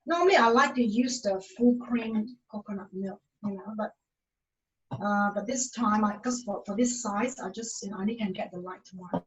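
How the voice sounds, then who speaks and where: A woman from Malaysia, giving a casual cooking demonstration in a halting way, full of fillers and restarts. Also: medium pitch, poor audio.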